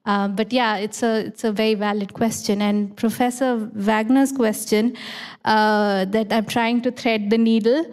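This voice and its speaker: A woman with a somewhat high voice speaks in a measured, thoughtful way as a conference panelist. She often stops to rephrase herself. Her answer rings with the long reverb of a large hall. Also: level tone, darkish tone, smooth. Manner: measured, self-correcting, thoughtful. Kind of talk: academic Q&A answer.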